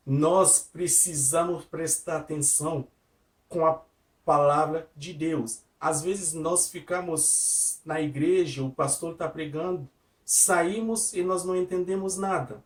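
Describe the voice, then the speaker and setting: A man with a fairly high voice who is not a native speaker delivers an informal devotional sermon. He repeats his points in simple words and breaks off to restart his sentences. The recording sounds distant, as if he stood well back from the mic in a reverberant room. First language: not Portuguese. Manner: simple repetitive phrasing with frequent restarts, addresses listeners as "tu". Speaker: lay preacher giving a devotional.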